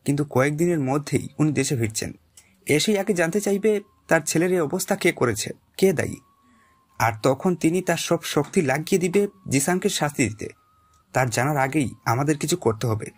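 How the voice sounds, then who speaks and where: Male actor as worried man, raspy husky voice, slightly high, urging, audio drama. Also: lively intonation, moderate pace. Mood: worried, urgent.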